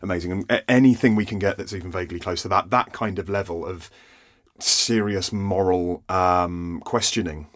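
A man with a fairly low voice, chatting on a podcast. He is enthusiastic and keeps stopping and restarting. It sounds casual and unscripted. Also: a bit bright. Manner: enthusiastic, halting.

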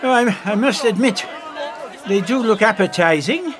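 An actor playing a curious, fussy elderly time traveller in an audio drama, testy and old-fashioned in manner. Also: male voice, high voice, animated, normal speed, a crowd in the background. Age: older.

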